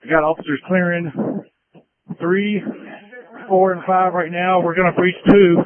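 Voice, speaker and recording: A man's voice: high-pitched, steady tone, measured pace, no room sound. A male police tactical officer giving terse, matter-of-fact status reports over a police radio. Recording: narrowband police radio transmission.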